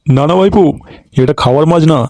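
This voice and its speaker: A man's voice: a little high, quick pace, mellow, no room sound, close to an everyday voice. A man voicing a crafty, boastful older village fish seller in a comic cartoon, chatty and foul-mouthed.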